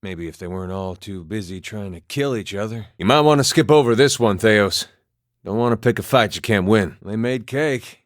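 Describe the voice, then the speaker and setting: An actor playing a wry, easygoing farmer and war veteran with a folksy, understated way of talking, in a video game. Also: male voice, lowish voice, lively intonation, moderate pace, no echo, studio quality, lightly acted. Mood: dry, wry. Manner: folksy, understated, dryly humorous, drops words. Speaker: video game companion character. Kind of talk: video game voice acting, conversational dialogue.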